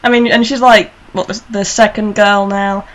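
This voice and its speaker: A woman's voice: mid-range voice, flat delivery, moderate pace. A woman hosting a radio discussion in a casual, chatty style. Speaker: radio show host. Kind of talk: casual chat. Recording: faint background noise.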